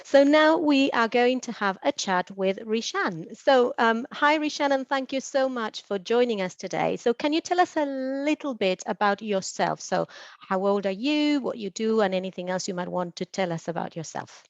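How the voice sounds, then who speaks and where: Woman host, interview questions, halting restarts, dry dull lo-fi webinar sound. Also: a little high, lively intonation, no room sound. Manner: warm, hesitant, many fillers and restarts.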